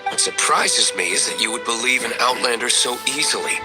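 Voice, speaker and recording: A man's voice: medium pitch, brisk, loud music behind the voice, lightly acted. A man voicing a curt, cynical, brooding young nobleman in a video game, with cool, clipped, dry delivery. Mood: cool, disapproving.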